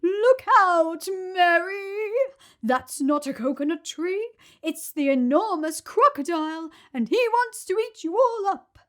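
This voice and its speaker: A woman's voice: very high voice, lively intonation, slow, a bit bright, voice pushed up, full character voice. A woman voicing a bold male hippopotamus in a storybook reading, calling out urgent warnings. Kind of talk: storybook read-aloud.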